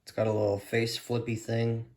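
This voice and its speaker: A man's voice: medium pitch, completely flat delivery, normal speed, darkish tone. Man rambling casually in an echoey room. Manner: hesitant, rambling, repetitive. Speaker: weather radio hobbyist vlogger. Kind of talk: casual unscripted gadget showcase. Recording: echoey room with background hiss.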